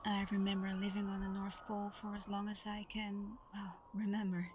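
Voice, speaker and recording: A woman playing Santa's gentle, shy adopted daughter in an intimate ASMR roleplay, soft-spoken, tender and a little hesitant. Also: mid-range voice, completely flat delivery, moderate pace, lightly acted. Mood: tender, reflective. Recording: clearly noisy background ambience.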